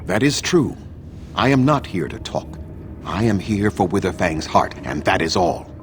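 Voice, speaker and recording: An actor playing an ancient, unforgiving elven mage in a video game, speaking in a stern, formal and scornful manner. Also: male voice, low-pitched, very animated, moderate pace, a little hiss or hum. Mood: cold, stern.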